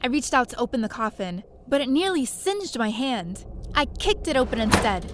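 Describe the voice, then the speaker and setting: Audio drama lead: quick-witted young-sounding heroine played by a woman, high and animated, narrating and acting dramatically. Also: a little hiss or hum. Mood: dramatic narration. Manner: expressive, dramatic. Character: plucky, quick-thinking woman space adventurer telling her story.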